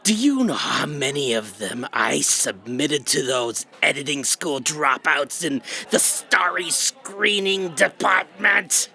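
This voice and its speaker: A man playing a self-important, aggrieved villain in a sci-fi audio comedy, pompous, melodramatic and sneering in a rough, gravelly voice. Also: on the high side, animated, slow, hardly any pauses, bright, forceful tone, full character voice. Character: invisible busboy, self-important aggrieved would-be villain writer.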